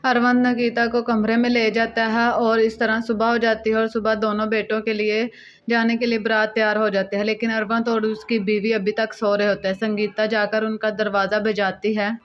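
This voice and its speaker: Even, high-voiced woman storyteller, dull sound. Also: moderate pace, soft background music. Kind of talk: scripted cartoon story narration.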